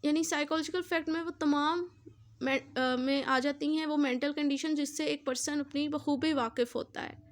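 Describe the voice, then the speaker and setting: A woman's voice: sits high, fairly even intonation, moderate pace. A woman with a Pakistani accent giving an explanatory law lecture, repeating and rephrasing in a didactic way and mixing in English terms.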